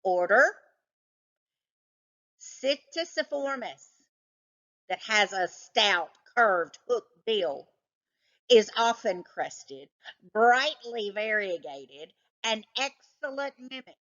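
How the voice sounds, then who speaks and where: A woman's voice: medium pitch, sing-song, extremely slow, long pauses, fairly bright tone, lo-fi sound, full character voice. A woman voicing a scornful, know-it-all male cat with a snappish, pedantic manner in a children's storybook. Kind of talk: children's storybook read-aloud.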